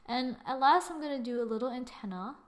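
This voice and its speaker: A young woman with a slightly high voice talks through a design tutorial at her computer. She narrates every click and step in a chatty, casual way, speaking into a desktop mic. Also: expressive, fairly quick. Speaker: tutorial host. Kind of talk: screen-recorded software tutorial.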